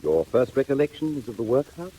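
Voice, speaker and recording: Husky, excitable kindly gentleman. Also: medium pitch, fairly quick, poor audio. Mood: gentle, curious. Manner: theatrical, courteous, inquisitive. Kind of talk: 1940s audio drama acting.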